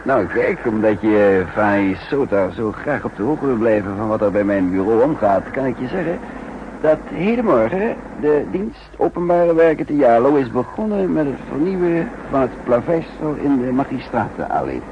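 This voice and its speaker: An actor playing a shrewd, sardonic counter-espionage chief in a 1960s radio drama, musing dryly with thoughtful hums. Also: male voice, medium pitch, big pitch swings, moderate pace. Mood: dry, confiding. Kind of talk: 1960s Dutch radio drama acting with narration. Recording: dull, noisy 1960s radio recording.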